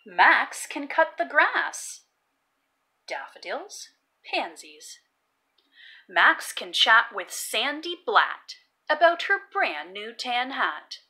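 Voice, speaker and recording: A woman's voice: sits high, very animated, slow pace, bright, forceful tone. A woman reading a children's story aloud as host, expressively and emphatically, sounding out words for young learners.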